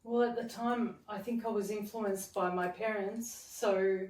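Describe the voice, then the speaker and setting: A woman from Melbourne, an artist guest answering interview questions in a candid, relaxed way. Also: mid-range voice, measured pace. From Melbourne, Australia. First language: Serbian and English, bilingual from childhood. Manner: candid, relaxed, casually swearing. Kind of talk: casual interview chat. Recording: roomy, distant from the mic.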